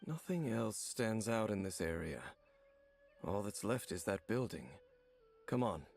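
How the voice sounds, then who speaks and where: A man voicing a grave, stoic, ageless wanderer in a video game, speaking in a measured, serious, matter-of-fact way. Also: lowish voice, animated, vocal fry, a little mellow, faint music behind. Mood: calm, matter-of-fact. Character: grave, stoic centuries-old male wandering warrior, terse and watchful. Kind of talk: video game character dialogue.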